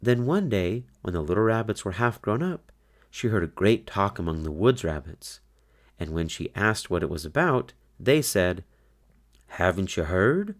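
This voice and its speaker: A man narrating a children's storybook aloud, with expressive, deliberate, scripted storytelling. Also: lowish voice.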